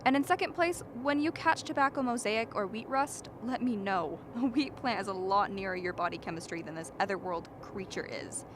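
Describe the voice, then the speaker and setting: A woman's voice: mid-range voice, moderate pace, hardly any pauses, close to an everyday voice. A woman playing a rational, argumentative scientist in a radio play, lecturing precisely with dry mockery. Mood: mocking. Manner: lecturing, precise, dryly mocking. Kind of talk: dramatic radio play acting. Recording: faint steady background noise.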